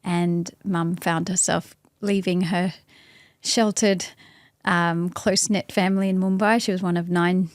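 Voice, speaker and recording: An Australian woman, an interview guest, speaking thoughtfully in a casual conversation with many pauses and restarts. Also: fairly low pitch, little pitch movement, no room sound, studio quality.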